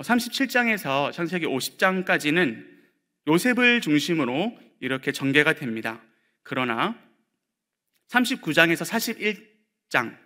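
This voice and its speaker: Bright-voiced male pastor preaching warmly. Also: on the high side. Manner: conversational preaching with light humor and frequent filler pauses. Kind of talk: sermon.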